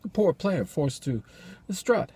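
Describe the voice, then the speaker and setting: Crazed despairing stage king, shakespeare, halting, husky, pitch flying way up. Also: big pitch swings, dark tone, dry recording, heavily acted. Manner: halting, overwrought, drawn-out words. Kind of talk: theatrical Shakespearean soliloquy.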